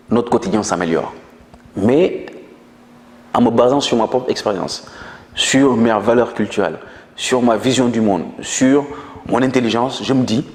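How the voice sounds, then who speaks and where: A man's voice: medium pitch, reverberant, long reverb tail. A man whose first language is Manjak, explaining ideas earnestly in an interview, with frequent hesitations. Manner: earnest lecturing tone with many hesitations. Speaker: guest.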